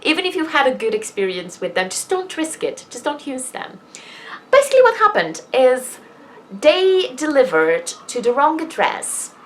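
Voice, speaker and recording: A woman's voice: high voice, very animated, moderate pace. Exasperated woman vlogger, noisy background. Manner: exasperated, sweary, emphatic on key words. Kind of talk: casual chatty rant to viewers. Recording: noticeable background noise.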